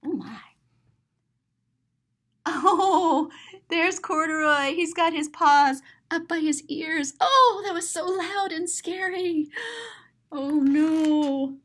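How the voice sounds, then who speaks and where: A woman's voice: very high-pitched, slow, long pauses, a bit bright. A woman reading aloud to children as a storyteller, expressively, with simple explanatory asides and dramatic gasps. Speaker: storyteller, author and teacher.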